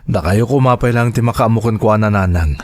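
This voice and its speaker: A man playing a devoted, gentle father and dutiful son in a radio drama, speaking earnestly and coaxingly. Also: on the low side, brisk, no room sound, studio quality, close to an everyday voice. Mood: earnest, hesitant.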